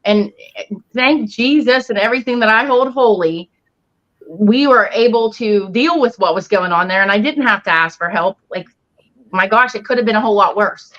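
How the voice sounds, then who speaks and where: A woman's voice: mid-range voice, moderate pace, fairly bright tone. A woman speaking earnestly and emphatically in a casual panel talk, as a paranormal investigator and healer. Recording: dull, lo-fi online audio.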